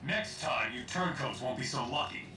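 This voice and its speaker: Man acting a smug exasperated armored hero, animated pitch, video game threats, echoey, music behind. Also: moderate pace, distant mic, lightly acted. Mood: smug, threatening. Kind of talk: video game villain-style confrontation acting.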